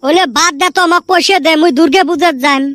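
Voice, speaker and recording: Squeaky cheeky village boy. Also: fast talker, fairly bright tone, dry recording, clean studio sound. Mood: cheeky pleading. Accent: northern Bengali (Rangpuri). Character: cheeky, pleading young village son. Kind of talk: comic cartoon dialogue.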